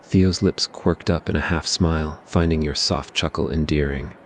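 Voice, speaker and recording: Very deep-voiced male story narrator. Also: steady tone, moderate pace, slight background noise. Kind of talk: scripted ASMR story narration.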